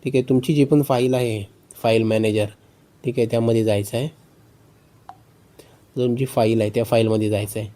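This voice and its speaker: Male tutorial host, mellow and relaxed tone, mid pitch, patient step-by-step instruction with repeated phrases. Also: brisk, pauses often. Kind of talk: phone tutorial narration.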